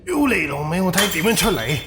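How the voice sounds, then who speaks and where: A man's voice: high voice, big pitch swings, fairly quick. A man with a Cantonese accent playing a wary, hot-tempered haunted house owner in an audio drama, muttering bluntly to himself. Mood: furious.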